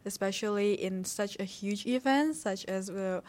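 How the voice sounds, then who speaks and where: Young woman, measured and hesitant. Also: mid-range voice, a little mellow. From Indonesia. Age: young adult. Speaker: exchange student and dancer.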